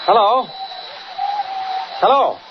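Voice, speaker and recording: Through a muffled, very noisy old radio recording comes an earnest American newsman. His voice sits high for a man. He speaks in the hesitant, well-meaning way of a mild-mannered 1940s serial hero. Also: slow pace. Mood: urgent, anxious. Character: earnest mild-mannered male newspaper reporter, anxious but reassuring.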